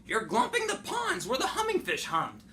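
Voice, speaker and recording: A man voicing a small, cranky old woodland creature from a storybook, indignant and pleading, with a strained, croaky voice. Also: wildly swooping pitch, moderate pace, pushed and bright, heavily acted. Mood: angry, scolding. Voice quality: strained, high-pitched, coughing croak. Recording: home recording with clear background noise.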